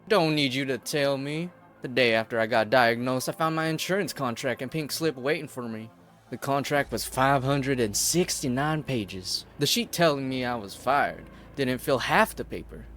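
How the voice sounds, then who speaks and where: Casting: male actor as embittered working man, medium pitch, sarcastic, blunt, defiant, game dub. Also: moderate pace, a bit bright, soft background music, lightly acted. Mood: bitter. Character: bitter, sarcastic, defiant man, an outcast laid-off worker. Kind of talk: scripted game fan dub acting.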